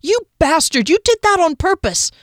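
A woman's voice: fairly high pitch, big pitch swings, fairly quick, no echo, voice pushed up. Lady narrator doing a feisty jumpy young woman rider, blunt n demanding, storybook dialogue, dry sound. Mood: furious. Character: fiery, jumpy young woman rider, brave and quick-tempered. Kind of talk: audiobook character dialogue.

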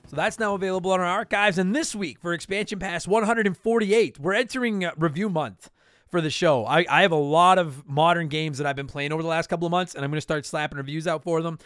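High-pitched, casual male podcast host. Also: fast, a bit bright, clean tone, dry recording, clean studio sound, faint music behind. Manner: self-deprecating, joking, blunt. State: slightly hoarse from talking. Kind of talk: casual solo podcast talk with promotional plugs.

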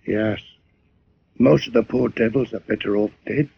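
An actor playing a mad, murderous barkeeper with a folksy, bitter manner and a husky voice in 1940s radio drama style. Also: male voice, lowish voice, moderate pace, a little hiss or hum. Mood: sly, confiding. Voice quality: husky, gravelly. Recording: dull old radio recording.

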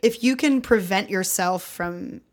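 A young woman co-hosting a podcast, telling stories in an animated way, laughing and swearing casually. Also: medium pitch, a little slow. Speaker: podcast co-host.

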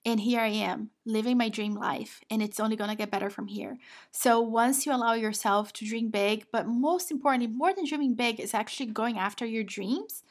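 Woman, Brazilian Portuguese accent, slightly high-pitched, encouraging and affectionate motivational podcast host. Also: moderate pace. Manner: encouraging, affectionate, addresses listener as "my love". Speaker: podcast host and women's business coach.

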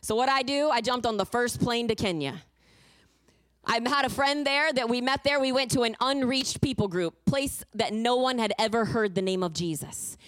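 A woman's voice: a little high, moderate pace, no room sound, low-quality recording. A woman preaching a fervent sermon, moving between emphatic declarations and a personal testimony told as a story. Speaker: guest preacher.

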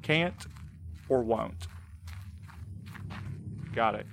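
A man's voice: lowish voice, very slow, creaky, a bit bright, slight background noise, full character voice. A man playing a sarcastic, embittered young courier in an audio drama, blunt and exasperated in a naturalistic style. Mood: bitter, accusing.

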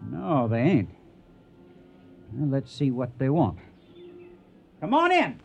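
An actor playing a gruff, hot-tempered trail boss in a radio western, with a folksy American Western drawl and an emphatic, commanding way of talking. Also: male voice, mid-range voice, wildly swooping pitch, takes it slowly, a little mellow, slight background noise, exaggerated voice. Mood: brisk. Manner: folksy, emphatic, barking orders.

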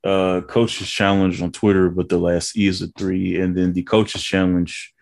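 A man co-hosting a podcast in casual chat, blunt and profane with drawn-out reactions. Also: low voice, level tone, creaky, darkish tone. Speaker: podcast co-host.

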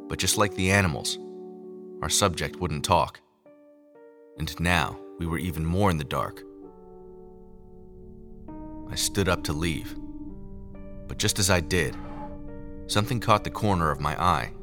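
A very low-pitched male scientist played by a male actor tells his story in a sci-fi audio drama. He is haunted and measured, brooding over each phrase, with music clearly playing underneath. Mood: brooding, grave. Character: haunted male lab scientist recounting secret experiments. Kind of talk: first-person scripted audio drama narration.

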